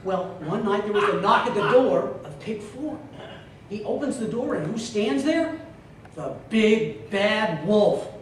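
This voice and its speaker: A man's voice: sits high, animated. Theatrical male storyteller in an echoey room. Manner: theatrical, with drawn-out punchlines. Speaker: contest speaker telling a humorous tale. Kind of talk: humorous storytelling speech to a live club audience. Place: meeting room before an audience. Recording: echoey room from far off, loud noise.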